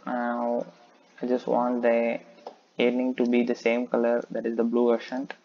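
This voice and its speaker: A man's voice: medium pitch, completely flat delivery, a little slow, lo-fi sound. A man talking through a coding tutorial, explaining step by step and thinking aloud with frequent "okay". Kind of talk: screen-recorded coding tutorial.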